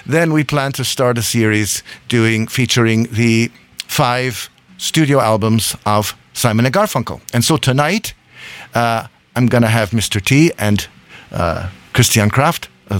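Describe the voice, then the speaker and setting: A man's voice: medium pitch, unhurried. Rambling male radio host. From Scotland. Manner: rambling, chuckling, self-correcting. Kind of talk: casual live radio chat. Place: hot radio studio.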